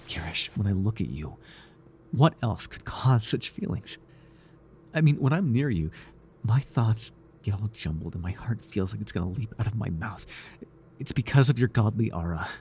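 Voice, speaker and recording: A man's voice: fairly low pitch, mellow. A man playing a zealous, awkward cultist, noisy recording. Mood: confused, flustered. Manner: halting, earnest, swinging between grand chanting and flustered rambling. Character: devoted, awkward young male cultist, zealous, lovestruck, nervy. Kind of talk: ASMR roleplay voice acting.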